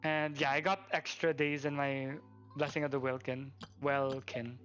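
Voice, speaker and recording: A man chatting casually as a live game streamer, in an excitable, effusive way that repeats itself. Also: mid-range voice, moderate pace, highs cut off. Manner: excitable, repeats thanks, chuckles often. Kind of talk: casual livestream chat. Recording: game music behind. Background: game music and character voices.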